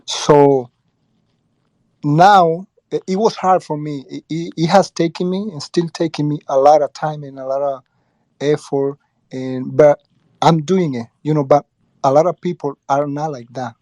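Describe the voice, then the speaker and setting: A man explaining his view earnestly and haltingly in a casual group discussion. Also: fairly high pitch, fairly even intonation, darkish tone, dry recording. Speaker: discussion participant, former teacher. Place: live online audio room, dry sound.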